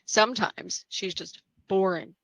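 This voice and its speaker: Young-sounding female ward patient played by a woman, unhurried, touchy but sincere, table read. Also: mid-range voice, a bit bright, poor audio. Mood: gently chiding.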